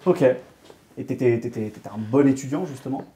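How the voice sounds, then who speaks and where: A man hosts the interview at a brisk pace. He asks clear, steady questions and recaps what his guest says. His tone stays easy and friendly. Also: medium pitch.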